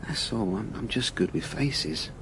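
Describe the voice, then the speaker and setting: An actor playing a gentle, awkward, kindly butcher in a radio drama, speaking in a hesitant, understated way. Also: male voice, on the low side, lively intonation, a little slow, background noise. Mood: awkward, regretful.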